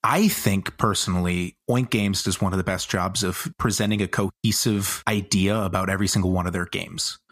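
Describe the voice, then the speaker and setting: A man's voice: deep voice, steady tone, moderate pace, few pauses, a bit bright, husky, no room sound, clean studio sound. A man co-hosting a casual podcast, explaining things in an eager, descriptive way. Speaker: podcast co-host.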